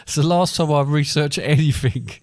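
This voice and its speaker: A man's voice: on the high side, moderate pace, darkish tone, no room sound. A middle-aged man, co-hosting a podcast in casual chat. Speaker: podcast co-host.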